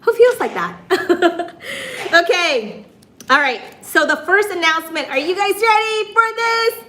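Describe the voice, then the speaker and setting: A woman from Michigan, hosting a casual livestream and chatting with viewers in a bubbly way, her voice hoarse and raspy, laughing often. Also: very high voice, big pitch swings, measured pace, sharp tone. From Michigan, USA. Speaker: livestream host.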